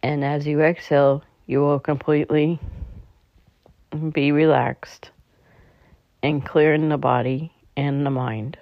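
A meditation guide speaking in a calm, slightly halting way, leading a slow guided relaxation. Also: medium pitch, vocal fry, dry recording. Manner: calm, halting delivery with stumbles. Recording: dull, muffled lo-fi recording.

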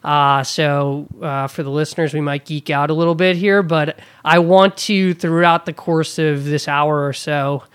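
A man's voice: fairly high pitch, steady tone, measured pace, hardly any pauses, fairly bright tone, clean tone. A man hosting a podcast interview in a hotel room, enthusiastic and full of fillers. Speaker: podcast host.

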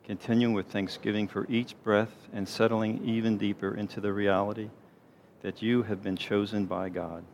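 Low-voiced man leading a gentle guided meditation. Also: flat delivery. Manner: gentle, unhurried, soothing delivery with pauses. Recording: clear background noise, low rumble.

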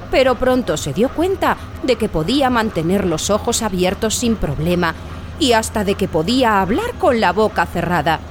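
Narradora de cuentos muy expresiva. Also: female voice, mid-range voice, a little hiss or hum. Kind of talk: children's storytelling, scripted narration.

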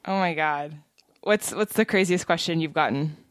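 A woman's voice: low voice, normal speed, dry recording. A woman hosting a podcast, asking curious questions and laughing often in casual chat. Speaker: podcast host.

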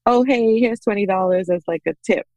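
A woman in her thirties from the Bahamas, telling personal stories conversationally as an interview guest, animated when she quotes people. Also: mid-range voice, fairly quick, dry recording, lo-fi sound. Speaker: podcast guest, small business owner.

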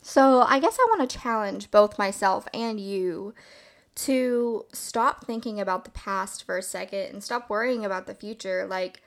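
A woman hosting a solo podcast in a casual, reflective, rambling way, with a slightly hoarse just-woke-up voice. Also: medium pitch, measured pace. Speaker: podcast host.

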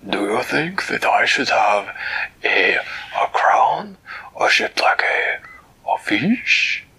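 A man voicing an excitable furry male creature king who is fussy about crowns, with high, hesitant, drawn-out exclamations. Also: creaky, breathy edge, in a whisper, full character voice. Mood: eager.

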